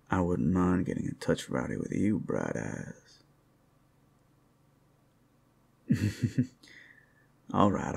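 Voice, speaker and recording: A man playing a flirtatious, rowdy but friendly werewolf in an ASMR roleplay, with a gravelly, husky voice and a folksy, teasing drawl. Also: low-pitched, darkish tone, full character voice. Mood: teasing, playful.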